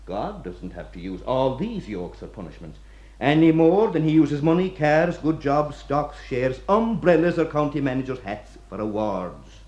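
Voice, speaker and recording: Irish prison warder getting on in years, guy acting, mellow, homely, deferential, philosophical, bit muffled radio play recording. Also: medium pitch, big pitch swings, moderate pace, lightly acted. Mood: solemn, musing. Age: middle-aged. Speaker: actor.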